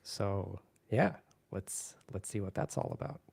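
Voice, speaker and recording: Relaxed male live-stream host. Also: fairly low pitch, unhurried, vocal fry, slightly rough. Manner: relaxed, full of fillers and restarts. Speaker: event host. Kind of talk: casual live-stream chat.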